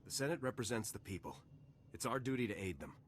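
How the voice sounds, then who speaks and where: An actor playing a dutiful, confident special forces lieutenant in a video game, with a crisp military manner. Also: male voice, fairly low pitch, expressive, fast, slight background noise, close to an everyday voice. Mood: dutiful, guarded.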